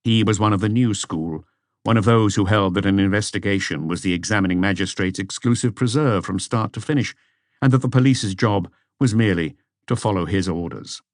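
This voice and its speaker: A man's voice: lowish voice, a little fast, dry recording, clean studio sound. A man reading a mystery novel as scripted audiobook narration.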